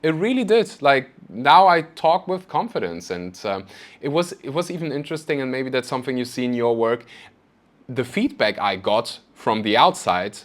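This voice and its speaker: Male podcast host, German native speaker, chatty and animated, self-deprecating storyteller. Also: medium pitch, normal speed. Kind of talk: casual interview conversation.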